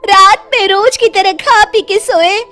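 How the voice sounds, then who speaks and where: A rustic young village woman in a folk tale, voiced by a woman storyteller. She complains plaintively in a very high voice, slowing down to stretch her words. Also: pushed and bright, heavily acted. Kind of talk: dramatized moral-story dialogue.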